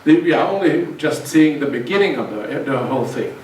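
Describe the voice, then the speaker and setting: Man, middle-aged, guest lecturer; halting, restart-heavy delivery, echoey hall, faint hiss. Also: medium pitch, lively intonation, a little mellow. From Finland. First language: Swedish. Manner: hesitant, many restarts and repeated words. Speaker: guest speaker, university lecturer. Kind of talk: seminar talk to students. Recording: echoey hall, distant mic, faint noise.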